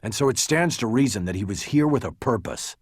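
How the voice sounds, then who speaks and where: A man voicing a gruff, angry, confrontational police detective in an audiobook crime novel. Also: medium pitch, lively intonation, brisk, no room sound, studio quality. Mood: tense, insistent. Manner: barking, confrontational, insistent.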